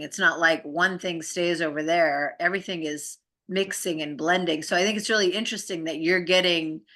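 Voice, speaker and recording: A woman with a notably low voice that still sounds bright and forward. She explains her ideas conversationally and earnestly, rambling informally and often checking in with the listener. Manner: informal, earnest, rambling with frequent tag questions.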